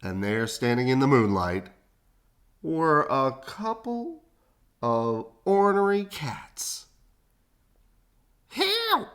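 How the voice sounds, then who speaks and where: A woman reading a children's storybook aloud expressively, with dramatic pauses and casual asides. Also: very deep voice, sing-song, clear, resonant tone. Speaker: storybook reader. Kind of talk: animated children's read-aloud with casual chat.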